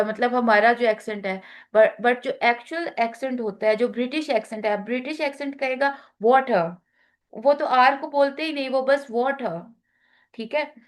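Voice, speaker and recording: A woman with a Pakistani accent teaching an online class, talking slowly with many fillers and switching into English phrases. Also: fairly high pitch. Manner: slow, halting, many fillers, mixing in English.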